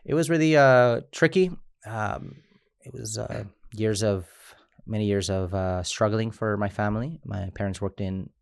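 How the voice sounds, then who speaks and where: A man in his thirties from Mexico, an immigrant rights organizer speaking in an interview, halting with frequent restarts. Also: lowish voice, gravelly, clear, resonant tone, dry recording, studio quality. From Chiapas, Mexico. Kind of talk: interview conversation.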